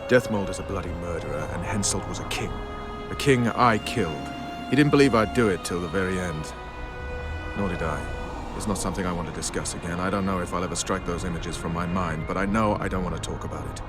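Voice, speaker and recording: Man playing a low-voiced, grim veteran commander. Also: fast, lightly acted. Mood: grim, bitter. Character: grim, world-weary male military commander, cynical and proud. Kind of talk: video game voice acting. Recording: music behind the voice.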